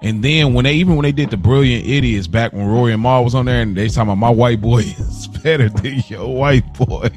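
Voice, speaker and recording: A man's voice: medium pitch, brisk, hardly any pauses, darkish tone, faint music behind. A man co-hosting a podcast, chatting and debating with a friend in an informal, slangy way. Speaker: podcast co-host. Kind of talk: casual chat, debating with a friend.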